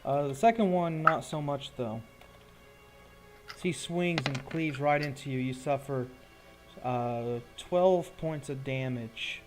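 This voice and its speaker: A man's voice: mid-range voice, slow, music in the background. A man acting as game master, casually narrating a tabletop roleplaying game and talking informally and hesitantly as he checks the rules.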